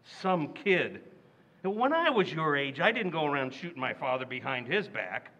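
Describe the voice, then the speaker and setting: A man acting a loud, indignant, stingy working-class father in an old-time radio comedy recreation. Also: fairly high pitch, big pitch swings, normal speed, full character voice, low-quality recording. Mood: blustering. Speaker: radio actor.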